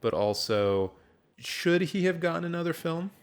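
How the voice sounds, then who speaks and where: A man's voice: on the high side, very animated, moderate pace, clean tone. A man co-hosting a casual film discussion podcast, talking in a wry, tongue-in-cheek way.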